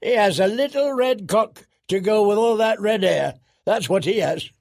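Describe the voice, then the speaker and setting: A man as a high-pitched, blustering wildling chieftain. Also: moderate pace, creaky, darkish tone. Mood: bawdy, amused. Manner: blustering, bawdy, roaring. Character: boisterous, crude, red-faced wildling warrior chieftain, laughing and roaring.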